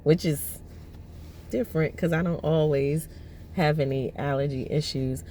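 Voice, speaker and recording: A woman in her thirties vlogging from her parked car, her voice hoarse and lowered from allergies, rambling with many fillers and restarts. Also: unhurried, dark tone, slight background noise. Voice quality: lowered, husky voice. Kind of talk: casual personal vlog. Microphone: phone on the dashboard.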